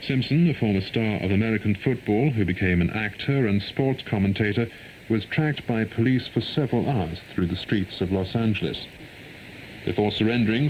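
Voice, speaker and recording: A man reads a formal, measured world news bulletin, heard over shortwave radio. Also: lowish voice. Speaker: radio newsreader. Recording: noisy 1990s shortwave radio reception.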